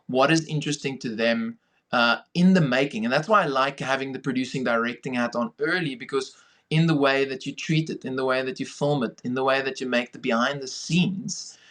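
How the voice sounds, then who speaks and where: A man's voice: mid-range voice, fairly bright tone. South African man in conversation. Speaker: podcast guest, filmmaker and director. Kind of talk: conversational interview answers.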